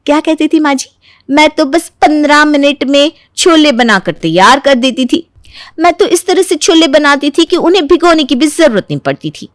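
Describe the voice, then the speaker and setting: A woman voicing a sly, playful young daughter-in-law in a cartoon story, sugary and expressive while feigning innocence. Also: high voice, no echo, clean studio sound. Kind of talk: animated Hindi moral story voice acting.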